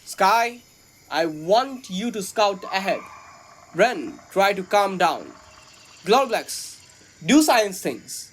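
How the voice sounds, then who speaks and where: A man's voice: high-pitched, very animated, slow, slight background noise, exaggerated voice. An actor playing a pompous, bumbling male starship captain, grandiose and self-important, in a comedy radio drama. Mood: commanding, unfazed. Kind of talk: sci-fi comedy radio acting.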